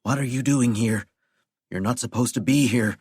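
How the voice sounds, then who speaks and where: A man's voice: mid-range voice, moderate pace, darkish tone, slightly rough, no room sound, studio quality, natural voice. A man voicing a big, thin-skinned, bullying president in an audiobook, with a curt, tense bluster. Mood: hushed alarm. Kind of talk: audiobook character voice.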